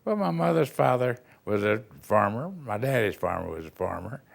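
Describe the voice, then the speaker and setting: A man's voice: mid-range voice, very animated, normal speed, gravelly. An older man from Texas, a retired band director, telling rambling, folksy stories in an interview. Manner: rambling, folksy storytelling with chuckles. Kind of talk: reminiscing interview answers.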